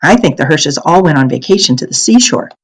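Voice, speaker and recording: A woman's voice: low-pitched, animated, fairly quick, breathy edge, heavily acted. A woman voicing a stubborn, proud little girl in a storybook, speaking emphatically and insistently. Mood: smug.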